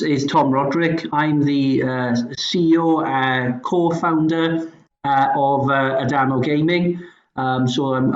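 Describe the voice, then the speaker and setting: A middle-aged man with a soft, anglicised Welsh accent, talking casually as a podcast guest in hesitant, stop-start phrases. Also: fairly high pitch. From Wales, Swansea Valley. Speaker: podcast guest, company founder. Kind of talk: casual conversational talk. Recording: roomy echo, slightly dull sound.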